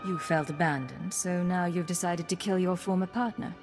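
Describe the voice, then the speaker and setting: A woman playing a cool-headed secret agent in a video game, calm, articulate and matter-of-fact. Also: on the low side, moderate pace, over music, close to an everyday voice. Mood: firm, reasoning. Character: composed, cool-headed female secret agent, firm and diplomatic.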